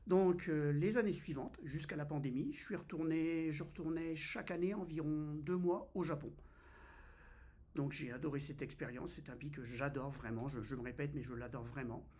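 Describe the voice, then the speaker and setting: A man in his fifties from France talks to camera in a relaxed vlog. His voice sits a little high, and he often pauses, fills gaps and restarts his sentences. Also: slightly rough. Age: 50s. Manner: hesitant, many fillers and restarts. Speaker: travel vlogger. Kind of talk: casual first-person vlog.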